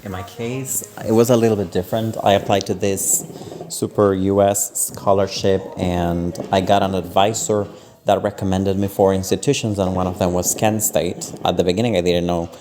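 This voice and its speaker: A man's voice: deep voice, flat delivery, moderate pace, warm tone, slight background noise. A young man from Panama co-hosting a student podcast, talking casually and hesitantly, with many ums. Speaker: podcast co-host. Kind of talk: casual chat.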